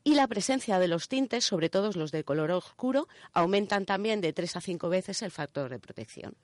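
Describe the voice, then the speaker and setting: Female radio presenter, Spain accent, mid-pitched, colloquial explainer who keeps checking in, dry studio-style sound. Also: animated, normal speed, a little mellow, dry recording. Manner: colloquial, checks in with listeners using short tag questions. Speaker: radio show presenter of a science segment. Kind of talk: casual explanatory radio chat.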